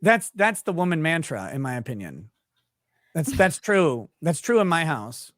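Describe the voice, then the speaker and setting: A man's voice: on the high side, very animated, normal speed, a bit bright, no room sound, clean studio sound. Joking man hosting a live stream. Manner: crude jokes, reads viewer comments aloud. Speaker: stream host. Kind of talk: casual comedic chat.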